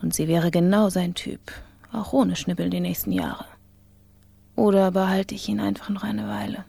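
A coolly calculating woman thinking to herself in a radio play. Her voice is breathy and husky with a creaky edge. She murmurs self-absorbed thoughts into a dry, close recording. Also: mid-range voice, fairly even intonation, normal speed, dark tone, no room sound. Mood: musing, calculating. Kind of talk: radio play interior monologue.

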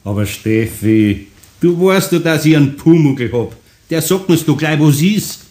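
Older Bavarian carpenter, fairly high male voice, homely, teasing, good-natured, children's radio play. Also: animated, measured pace. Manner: homely, gently teasing dialect talk.

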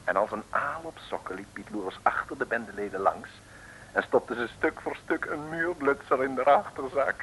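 A man's voice: mid-range voice, moderate pace, fairly bright tone, poor audio. A man narrating an old radio serial in a playful, theatrical, mock-heroic scripted style. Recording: old radio recording, faint noise.

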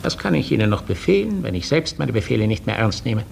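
An actor playing a haughty, cruel Russian count who lords it over serfs, imperious and formal, in a 1950s German radio play. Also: male voice, fairly low pitch, very animated, quick pace, gravelly, full character voice. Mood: stern, imperious. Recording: faintly noisy 1950s radio recording.

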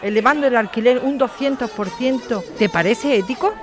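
A woman's voice: fairly high pitch, brisk, lightly acted. Woman acting a combative young-generation activist lawyer, street crowd behind. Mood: indignant, defiant. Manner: indignant, challenging, forceful rhetorical questions. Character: combative activist lawyer daughter defending evicted neighbours. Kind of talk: audio drama dialogue.

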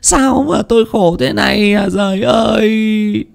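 A man voicing a middle-aged widow wailing in grief with long, drawn-out cries. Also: high voice, vocal fry, voice pushed up, full character voice.

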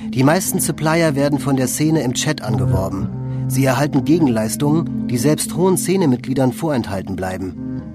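A male narrator reads a scripted documentary text. His mid-range voice is measured and factual, with music playing behind it. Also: medium pitch, lively intonation.

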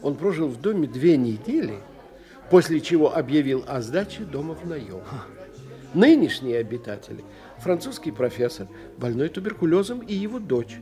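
An actor playing a learned older professor in an audio drama, lecturing in a theatrical, condescending manner. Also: male voice, a little high, very animated, moderate pace, warm tone, soft background music. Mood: genial, gossipy. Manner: didactic, theatrical, condescending to his young friend. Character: animated, learned older professor investigating psychic phenomena.

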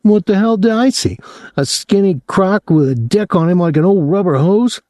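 A nosy, cheeky teenage boy whines in a high, pinched voice, as a male reader puts him on. He sounds excited and aggrieved. The recording is dry and close. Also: normal speed, no room sound. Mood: whining.

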